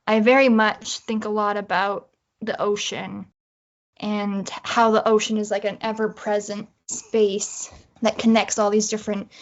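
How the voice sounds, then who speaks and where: A woman from northern New Brunswick, Canada, talking casually and reflectively as a writer being interviewed. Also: medium pitch. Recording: dull, lo-fi audio.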